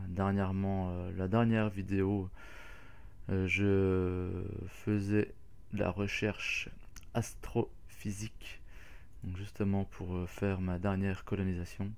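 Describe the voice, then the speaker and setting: A man's voice: deep voice, darkish tone. A man hosting a casual game walkthrough, talking hesitantly with many fillers and restarts. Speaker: gaming channel host.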